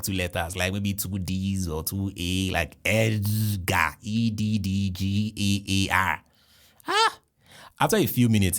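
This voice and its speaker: Nigerian guy, super deep voice, animated funny storytelling podcast, lots of ah and never never. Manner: animated, jokey, with exclamations. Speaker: podcast host. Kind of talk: comic personal storytelling.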